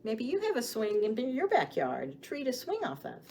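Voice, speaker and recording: Lady doing kids storytime, really lively up and down voice, sing-songy, noticeable noise in the background. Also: on the high side. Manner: addresses children directly with questions and pauses for answers; sing-song, expressive delivery. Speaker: children's librarian hosting storytime. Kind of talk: storytime read-aloud and rhymes for young children. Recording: clearly audible background noise.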